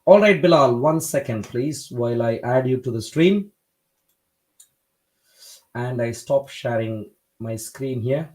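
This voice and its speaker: A man from India hosting a debate livestream, talking in a measured, polite way with frequent hesitations. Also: mid-range voice, darkish tone. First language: not Hindi. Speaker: livestream host.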